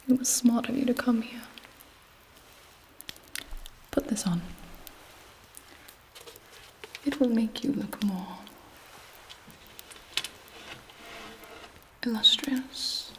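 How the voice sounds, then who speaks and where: A woman playing an imperious, scheming, seductive vampire queen in an intimate ASMR roleplay, speaking in a deliberate, haughty whisper. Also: a little high, fairly bright tone, rough recording. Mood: coaxing, condescending.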